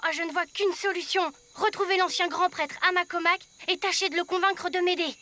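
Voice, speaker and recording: A woman's voice: very high-pitched, fairly even intonation, a little fast, pushed and bright. A woman voicing a plucky, kind young boy hero in a cartoon, earnest and determined. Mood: earnest, pleading. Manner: earnest, eager. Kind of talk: French cartoon voice acting. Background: faint music.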